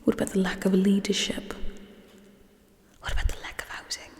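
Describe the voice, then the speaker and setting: A young woman voicing a coolly menacing, scheming female alien leader in a breathy, deliberate ASMR roleplay whisper. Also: mid-range voice, completely flat delivery, natural voice. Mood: callous, dismissive. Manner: slow, deliberate, hushed, coolly condescending. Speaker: ASMR performer. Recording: long reverberant echo.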